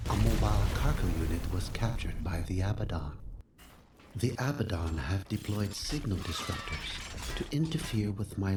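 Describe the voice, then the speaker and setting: Man voicing a orbital super AI, very low pitch, formal, calm, precise, game briefing, loud noise behind. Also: lightly acted.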